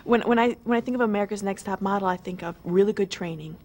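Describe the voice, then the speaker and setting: A woman's voice: mid-range voice, fast talker, a little mellow. A twenty-year-old woman giving an eager, chatty pre-show interview, full of "you know" and restarts. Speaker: model contestant.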